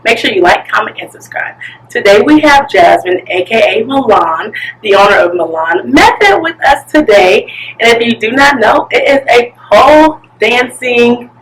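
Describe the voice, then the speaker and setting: A woman hosts a casual interview show in a chatty, giggly way. Her pitch sits a little high. She sounds set slightly back from the microphone. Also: lively intonation, moderate pace, recorded from a distance, rough recording. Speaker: talk show host interviewing entrepreneurs.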